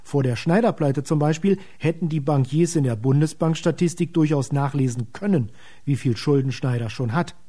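A man's voice: a little high, normal speed, dark tone. A man giving matter-of-fact explanatory commentary.

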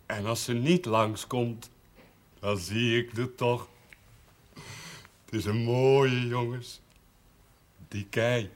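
Slow, childlike village simpleton played by a man. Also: medium pitch, fairly even intonation, lightly acted. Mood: dreamy. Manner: halting, naive, blunt short phrases. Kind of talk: 1970s Dutch television drama acting.

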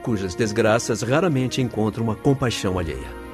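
An actor playing a compassionate, grave spirit counsellor in a radio drama, with a formal, oratorical delivery. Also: male voice, on the low side, expressive, moderate pace, a little mellow, faint music behind, natural voice. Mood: solemn compassion.

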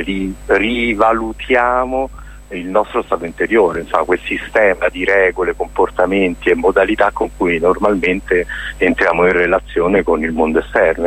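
A man's voice: sits low, a bit bright, breathy edge, poor audio. A man answering as a festival director in a radio interview, discursive and hesitant as he searches for words.

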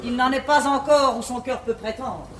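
A woman's voice: sits high, normal speed, lightly acted. An actress playing an imperious, vain learned mother in classical French verse comedy, with grand, domineering declamation. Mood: vain, offended. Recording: echoey 1950s theatre recording, faint noise.

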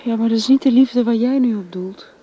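An actress playing a young woman torn between love and faith, speaking softly and hesitantly. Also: on the high side, brisk, highs cut off, natural voice. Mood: hushed. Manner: soft, hesitant.

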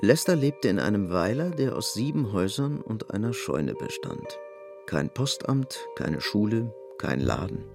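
A man's voice: fairly low pitch, creaky. A man narrating a literary audio drama from a script. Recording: music bed under voice. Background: instrumental music.

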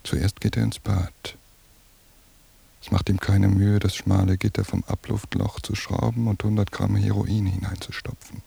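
An actor playing a weary, suspicious police surveillance officer, thinking aloud in a brooding, hushed radio drama monologue with a gravelly, husky voice. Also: male voice, lowish voice, level tone, normal speed, heavily acted. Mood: tense brooding.

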